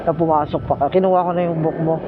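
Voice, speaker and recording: Muffled, chatty Filipino man over loud noise. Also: fairly high pitch, steady tone, fast talker. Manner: chatty, teasing, polite. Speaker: motorcycle taxi rider and vlogger. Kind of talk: casual joking chat with passenger. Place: outdoors riding a motorcycle in traffic. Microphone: helmet intercom. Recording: muffled intercom mic, loud road noise. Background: loud vehicle and street noise.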